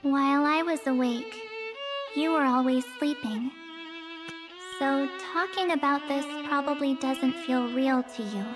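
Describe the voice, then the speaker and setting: A enigmatic young spirit girl from a video game, high in pitch and very unhurried. She is calm, gentle and solemn, with string music clearly playing behind her. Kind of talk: English video game voice acting.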